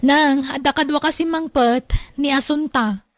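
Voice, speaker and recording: A headstrong young single mother in a radio drama, high in pitch and closely, dryly recorded. She sounds carefree and defiant, brushing others off. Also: a little slow, dry recording, clean studio sound. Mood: dismissive. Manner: defiant, dismissive. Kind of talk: Ilocano radio drama acting.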